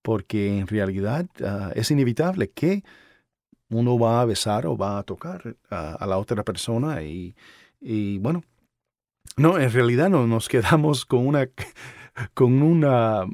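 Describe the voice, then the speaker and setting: A man's voice: medium pitch, expressive, measured pace, a little mellow, no echo, clean studio sound. A man hosting a podcast, chatting casually and informally with familiar, joking ease. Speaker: podcast host. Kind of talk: casual conversational podcast talk and interviewing.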